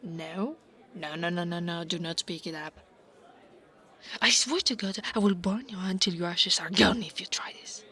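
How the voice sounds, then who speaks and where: A woman's voice: on the low side, steady tone, normal speed, fairly bright tone, close to an everyday voice. A woman playing an insecure, mocking young female dragon bully in an ASMR roleplay, with a taunting, drawling delivery. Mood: flustered, threatening. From Italy.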